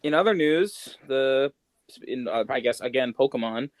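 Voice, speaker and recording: A man's voice: mid-range voice, expressive, moderate pace, no echo. A man hosting a podcast in casual chat, with an offhand manner and dry understatement. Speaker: podcast host. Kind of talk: casual chat about games and news.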